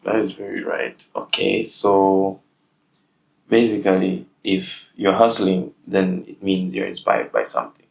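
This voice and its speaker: A man's voice: sits low, unhurried, creaky, poor audio. A young man hosting a casual interview, talking in a hesitant way with many fillers. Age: young adult. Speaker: host.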